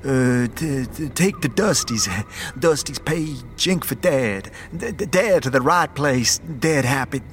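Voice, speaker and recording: A man's voice: medium pitch, lively intonation, slight background noise. A man playing a timid, muddled scavenger in a fantasy audio drama, stammering heavily and repeating his words. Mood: nervous, confused. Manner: heavy stammer, halting, repeats words, third person. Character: timid, muddled male beggar who scavenges the dead.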